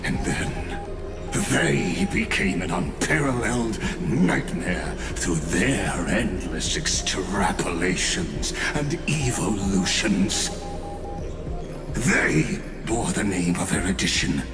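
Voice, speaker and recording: An actor playing a coldly fanatical ancient genius villain in a video game, delivering solemn, pompous, deliberate monologues. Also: male voice, low voice, big pitch swings, exaggerated voice. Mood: brooding, solemn. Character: grandiose ancient male genius villain, a machine-bound mind, coldly fanatical. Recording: echoing voice over prominent music.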